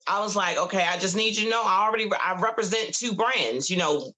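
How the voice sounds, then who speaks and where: A woman over fifty with a bright, forceful voice chats easily as a podcast guest. She stops, restarts and repeats words as she thinks aloud. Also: medium pitch, fast talker. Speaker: podcast guest, cigar brand ambassador. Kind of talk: casual chat.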